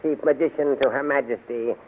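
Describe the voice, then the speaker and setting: An actor playing a slow, pompous court magician in a 1930s radio serial, mumbling and hemming as he goes. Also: medium pitch, fairly even intonation, narrow, tinny audio, close to an everyday voice. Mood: hesitant, pompous. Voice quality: mumbling, halting. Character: slow, doddering, pompous male court magician, grumbling and imperious. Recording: noisy, very thin 1930s radio recording.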